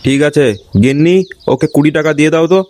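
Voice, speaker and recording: A man's voice: on the high side, steady tone, quick pace, background noise, close to an everyday voice. Man acting grumpy, scolding village old-timer in cartoon. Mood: exasperated. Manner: scolding, threatening, indignant. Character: grumpy, foul-mouthed village grandpa and stingy father. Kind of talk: comic cartoon dialogue.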